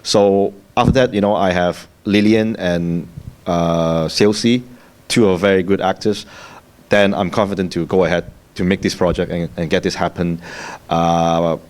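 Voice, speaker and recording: A filmmaker from Hong Kong with a Cantonese accent, speaking haltingly with fillers and repeated words at a festival panel. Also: male voice, low-pitched, fairly even intonation, a little mellow.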